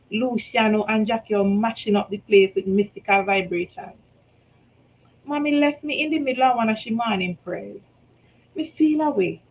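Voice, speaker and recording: A Vincentian woman reading her own short story aloud, telling it in rhythmic Creole with lively shifts in loudness. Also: fairly high pitch, a little hiss or hum, rough recording. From St. Vincent and the Grenadines.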